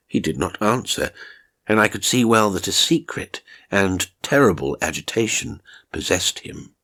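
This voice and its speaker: A man's voice: low-pitched, expressive, moderate pace, a bit bright. A man narrating a first-person horror story in a dramatic audiobook style.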